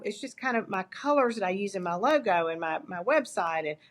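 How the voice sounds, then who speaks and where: An older woman speaks in an East Texas drawl, her pitch in an ordinary middle range. She talks candidly and with energy, often starting a phrase over as she tells her stories in an interview. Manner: candid, animated storytelling with frequent restarts. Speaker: guest, agency founder. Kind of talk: interview conversation.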